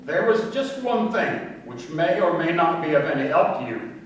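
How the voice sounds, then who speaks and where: A man's voice: a little high, big pitch swings, normal speed. An actor playing an earnest, deferential working-class male witness in a radio crime play, speaking respectfully and colloquially. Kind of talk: radio crime drama acting. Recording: echoey distant room, faint noise.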